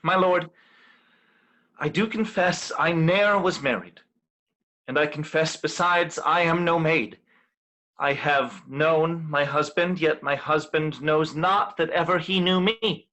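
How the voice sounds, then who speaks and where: A man playing a resolute, wronged veiled woman in a Shakespeare reading, in measured formal verse. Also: fairly high pitch, sharp tone, poor audio. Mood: guarded, firm.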